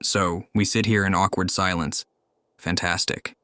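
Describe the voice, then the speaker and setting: A man's voice: low voice, level tone, a little slow, pushed and bright, no room sound, studio quality. A man giving casual playthrough commentary as he reacts to video game scenes. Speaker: game streamer reacting to cutscenes. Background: game music.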